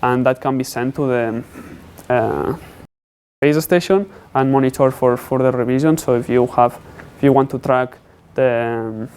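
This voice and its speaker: Male student presenter, English, clear smooth voice, medium pitch, explanatory and halting delivery. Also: steady tone, a little mellow. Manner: halting, non-native English phrasing. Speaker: student presenting a capstone project.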